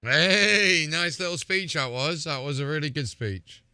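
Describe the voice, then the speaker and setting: A man's voice: fairly high pitch, expressive, a little slow, sharp tone, clean tone, dry recording. A man who streams games, chatting casually as he watches and plays. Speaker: game streamer.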